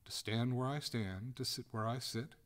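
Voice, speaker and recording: A man voicing a courteous but coolly merciless young gentleman in a storybook, formal and firm in manner. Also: medium pitch, moderate pace, voice pushed up, close to an everyday voice. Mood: genial, polite. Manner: formal, suavely polite, firm.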